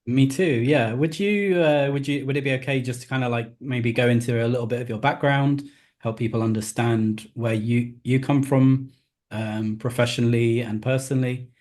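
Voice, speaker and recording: A man's voice: medium pitch, fairly even intonation, normal speed, a little mellow. Hesitant male podcast host. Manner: hesitant, lots of fillers and false starts. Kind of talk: casual podcast hosting.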